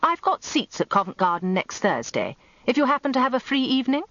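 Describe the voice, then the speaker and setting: A woman's voice: a little high, big pitch swings, fairly quick, fairly bright tone, no echo, voice pushed up. A woman voicing suave older Englishman, dry sound. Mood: businesslike. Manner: smooth, businesslike. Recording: slightly dull, lo-fi radio recording, dry.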